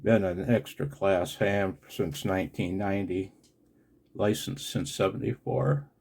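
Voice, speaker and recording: An older man from the United States in a casual, unscripted vlog as a radio operator, blunt and wry with a gravelly, husky voice. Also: low-pitched, unhurried, darkish tone. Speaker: amateur radio operator and video host. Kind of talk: casual, unscripted video commentary.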